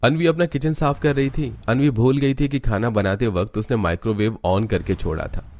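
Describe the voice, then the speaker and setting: A man's voice: medium pitch, normal speed, a little mellow, slight background noise. A man reading scripted narration for a cartoon story as its narrator.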